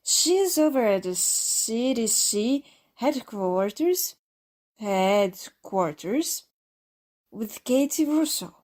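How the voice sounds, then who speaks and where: An actress playing a bitter, catty TV news anchor with a deliberate, snide manner in an audio drama. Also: female voice, fairly high pitch, animated, darkish tone. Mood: bitter, formal.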